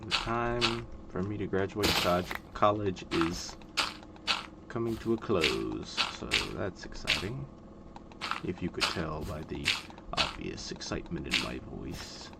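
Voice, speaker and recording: A man in his twenties giving calm, monotone, rambling gameplay commentary, full of fillers. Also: low-pitched, measured pace, gravelly. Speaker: gaming video creator. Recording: loud background noise.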